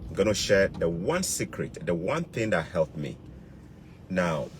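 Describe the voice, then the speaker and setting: Emphatic Ghanaian man over clear background noise. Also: low voice. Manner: emphatic, repeats key words and phrases. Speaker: YouTube vlogger. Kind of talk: motivational advice vlog.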